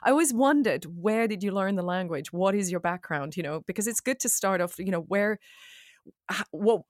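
A woman's voice: medium pitch, moderate pace. Interviewer, Swiss-born woman, American-tinged accent, effusive and eager questions. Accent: American-tinged English. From Switzerland. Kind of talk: conversational interview.

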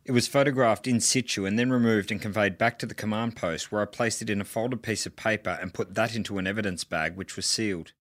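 A man's voice: on the low side, fairly even intonation, fast, few pauses, a bit bright. A man reading out a police statement in a formal style.

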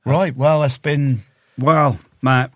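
A man's voice: mid-range voice, fairly even intonation, slow, no echo. A man chatting casually as a podcast host, effusive and agreeable. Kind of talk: casual chat.

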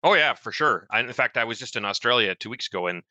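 A man with a Canadian accent co-hosting a podcast, speaking in a quick, wry, matter-of-fact way. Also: mid-range voice, expressive, bright, forceful tone, no room sound, highs cut off. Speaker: podcast co-host. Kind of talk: conversational podcast discussion.

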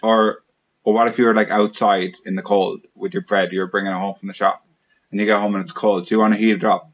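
A man's voice: on the low side, level tone, fast, lo-fi sound. A man hosting a podcast in casual chat, joking and rambling as he talks. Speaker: podcast host.

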